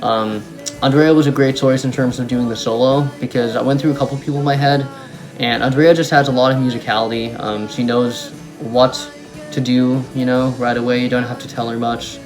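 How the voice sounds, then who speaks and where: A man's voice: medium pitch, fairly even intonation, brisk, a little mellow, poor audio. Young man musician, music playing behind. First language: German. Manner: rambling, many ums and restarts, enthusiastic. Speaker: musician talking about his song. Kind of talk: casual talk to camera.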